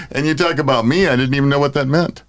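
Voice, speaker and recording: A man's voice: mid-range voice, a little fast, no echo. An older American man co-hosting a podcast in casual chat, joking and self-deprecating. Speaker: podcast co-host.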